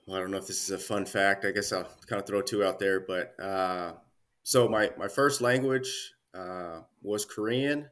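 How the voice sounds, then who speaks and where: A man in his thirties, a guest chatting casually in an interview, relaxed with many fillers and restarts. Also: low voice, moderate pace. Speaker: Army career manager guest.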